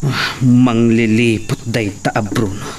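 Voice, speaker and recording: An actor in a radio drama playing a gruff, menacing leader of armed men who gives orders. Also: male voice, mid-range voice, fairly even intonation, takes it slowly, darkish tone, far from the mic. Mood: stern. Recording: off-mic, faint noise with cricket-like chirping.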